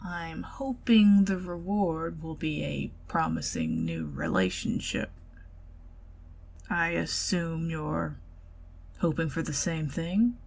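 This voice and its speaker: A woman with a Southern US accent talks close and soft, drifting in and out of whispers as if on a quiet date. Her voice sits low and husky, with a gravelly fry in it, and she takes her time with every phrase. She sounds middle-aged and unhurried. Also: lively intonation. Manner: intimate, soft-spoken, giggly, partly whispered. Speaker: ASMR roleplay performer. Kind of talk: ASMR roleplay, soft-spoken date.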